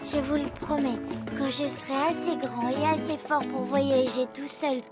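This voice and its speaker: A woman plays a little cartoon seal pup, a trusting boy with a high, childlike voice. He sounds earnest and full of curiosity, over prominent music. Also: fairly even intonation, moderate pace, close to an everyday voice. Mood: happy, earnest. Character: small, trusting, curious male baby seal. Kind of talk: dubbed animated series acting.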